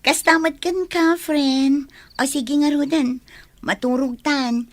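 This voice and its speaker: A woman's voice: high voice, fairly even intonation, slow. A woman acting an anxious, easily startled young woman with an Ilocano accent in a radio drama. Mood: alarmed, reproachful.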